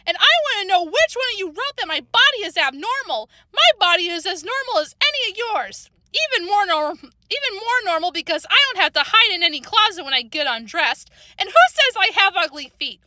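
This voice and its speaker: A woman voicing a hot-tempered, defensive young girl in a children's story, shouting indignantly and with heavy emphasis. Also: extremely high pitch, very animated, normal speed, hardly any pauses, background noise, pitched above the performer's own voice.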